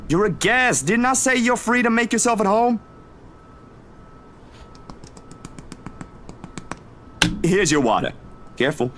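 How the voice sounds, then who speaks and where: A man playing a friendly young male photographer in a video game, with a casual, chatty, expressive delivery. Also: sits high, a little fast, slight background noise. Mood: concerned. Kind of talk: naturalistic video game voice acting.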